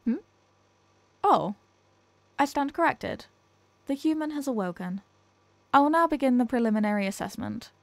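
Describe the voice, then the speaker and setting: A woman's voice: on the high side, gravelly, no echo. A woman playing a condescending female alien scientist in a scripted ASMR roleplay, speaking in a clinical, formal, dismissive manner. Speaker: ASMR audio roleplay performer.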